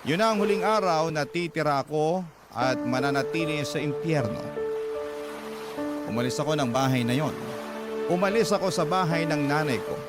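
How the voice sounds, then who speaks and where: Actor as a highish-voiced, sorrowful Filipino male narrator. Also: moderate pace, pauses often, close to an everyday voice. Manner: sorrowful, earnest. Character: abused child, now grown, recounting a painful past. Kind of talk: dramatic first-person letter narration in radio drama. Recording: prominent music under the voice.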